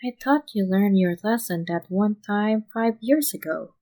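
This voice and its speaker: A woman's voice: mid-range voice, unhurried, warm tone, close to an everyday voice. A woman plays a young man in charge, worn out and exasperated. He speaks with long-suffering patience as he gives advice in an audio story. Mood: dry exasperation. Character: weary, exasperated young male mafia boss giving advice.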